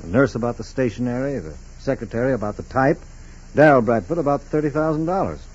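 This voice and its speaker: An actor playing a methodical FBI special agent in a 1940s radio drama, with a clipped, deliberate, businesslike delivery. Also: male voice, medium pitch, lively intonation, husky. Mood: measured, puzzled. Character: steady, methodical male FBI special agent investigating a case. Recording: dull, muffled 1940s radio recording.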